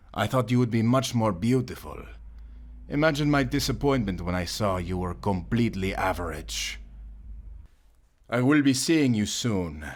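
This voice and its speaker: A man playing a cruel, vengeful exiled prince in an audio drama, taunting and sneering theatrically, with music behind him. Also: on the low side. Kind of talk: dramatic audio roleplay acting.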